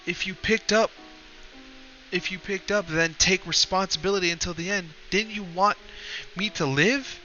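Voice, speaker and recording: A man voicing a clingy, excitable young girl in a high pleading voice, reading a story aloud. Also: normal speed. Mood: upset. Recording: lo-fi with background music.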